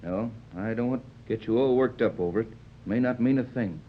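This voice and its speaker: An actor playing a steady, plain-spoken yacht captain in a 1930s radio adventure serial. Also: male voice, medium pitch, a little hiss or hum, lightly acted. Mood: grave, explaining. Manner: measured, plain-spoken, reluctant to alarm. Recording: muffled old 1930s radio recording.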